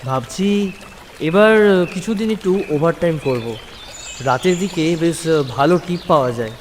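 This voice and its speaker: A man's voice: on the high side, lively intonation, moderate pace, close to an everyday voice. A man playing a dutiful, hardworking young delivery man in an audio story, earnest and affectionate. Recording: noisy recording with long hall reverb.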